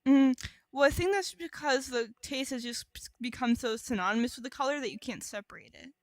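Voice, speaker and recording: A woman's voice: high-pitched, fairly quick, no echo. A woman co-hosting a podcast in casual comedic banter, playful and wry. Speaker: podcast co-host.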